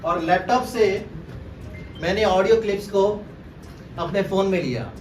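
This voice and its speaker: A man from Rajasthan, a former political aide, making a deliberate, emphatic press conference statement and repeating phrases for stress. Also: high-pitched, animated, darkish tone. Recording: distant mic, echoey room, clearly noisy.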